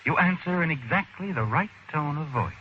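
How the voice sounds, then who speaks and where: An actor as the narrator of an old radio thriller, addressing the heroine in insinuating, dramatic, deliberate phrases. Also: male voice, a little high, expressive, slight background noise, close to an everyday voice. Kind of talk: 1940s radio drama narration in second person. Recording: dull old radio recording, loud music behind. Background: dramatic music.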